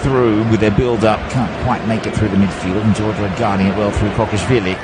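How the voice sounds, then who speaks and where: A man's voice: fairly low pitch, steady tone, brisk, over loud music. A man giving live football commentary, lifting his voice on chances and stumbling now and then over player names. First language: not Czech. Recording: loud background noise.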